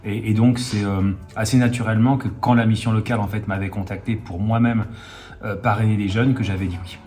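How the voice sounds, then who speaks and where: Man in his fifties, lowish voice. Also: steady tone, a little fast, a little mellow. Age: 50s. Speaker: volunteer mentor. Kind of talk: interview testimonial. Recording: music behind the voice. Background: music.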